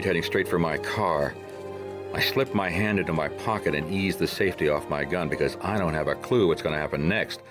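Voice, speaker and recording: An actor playing a weary, wry private detective in a hardboiled radio drama, laconic and understated, both narrating and in dialogue. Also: male voice, low-pitched.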